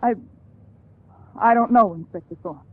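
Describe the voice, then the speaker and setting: An actress playing a frightened young woman, halting and anxious, in a 1950s radio drama. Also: on the high side, no room sound, close to an everyday voice. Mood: nervous, hesitant. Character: frightened, hesitant young woman suspected of murder. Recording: dry, tinny, narrow vintage radio broadcast.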